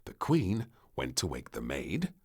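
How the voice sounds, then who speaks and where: A man narrating a children's storybook in an expressive, theatrical and measured way. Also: deep voice, heavy background noise.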